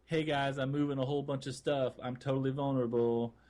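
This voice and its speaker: A man's voice: mid-range voice, little pitch movement, fast, darkish tone. A man co-hosting a casual gaming podcast, talking in an informal, enthusiastic, loosely phrased way. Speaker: podcast co-host.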